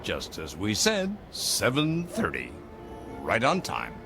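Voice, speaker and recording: An actor playing an eccentric older gentleman, a secretive mentor with a grandiloquent, fatherly manner in a theatrical radio drama. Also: lowish voice, wildly swooping pitch, slow pace, creaky, over music, heavily acted. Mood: genial, knowing. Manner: grandiloquent, avuncular, emphatic. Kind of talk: theatrical audio drama acting.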